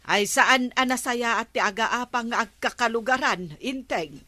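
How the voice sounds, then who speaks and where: A woman with an Ilocano accent playing an insistent village woman, emphatic and drawn-out, in a radio comedy drama. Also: fairly high pitch, bright, forceful tone, no room sound.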